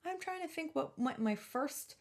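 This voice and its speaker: A woman's voice: sits high, lively intonation, moderate pace, creaky, darkish tone. Enthusiastic woman hosting a podcast. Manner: informal, rambling, enthusiastic. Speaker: podcast host. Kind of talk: casual podcast chat. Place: podcast recording.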